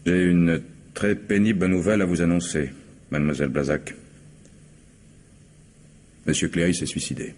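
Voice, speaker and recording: A man acting as a composed, methodical police commissioner in a French radio mystery, speaking formally and deliberately. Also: sits low, fairly even intonation, natural voice. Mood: grave, measured.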